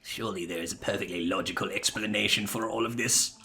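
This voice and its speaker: A man voicing a dry, exasperated skeptic from a video game, grumbling in a deadpan way. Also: lowish voice, fast, vocal fry, soft background music, voice pushed down, heavily acted.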